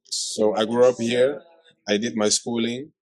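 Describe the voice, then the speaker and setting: A man's voice: mid-range voice, level tone, a little slow, no echo, poor audio. A man in his thirties from Suriname with a Surinamese Dutch accent, chatting playfully and often restarting his sentences. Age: 30s. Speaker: vlogger co-host. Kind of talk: casual chat.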